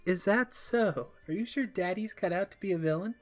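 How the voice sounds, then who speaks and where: A man's voice: sits high, moderate pace, faint music behind, pitched above the performer's own voice, natural voice. A man voicing a teasing, affectionate mother in a story, playfully melodramatic. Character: teasing, affectionate mother playing a helpless princess.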